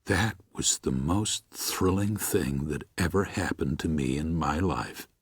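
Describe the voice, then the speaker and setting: A man playing a gentle, reflective preacher of seventy-six in a first-person audiobook, with a measured, husky, aged voice. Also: extremely low pitch, dry recording, clean studio sound, full character voice. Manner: measured, tender, musing. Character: gentle, reflective 76-year-old Midwestern preacher writing to his son. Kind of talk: first-person audiobook narration with acted dialogue.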